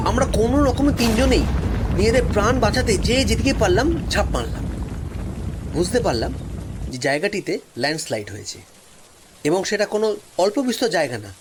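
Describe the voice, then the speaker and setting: A man narrating a first-person story in a dramatic, suspenseful way with expressive, drawn-out pauses. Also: high voice, background noise.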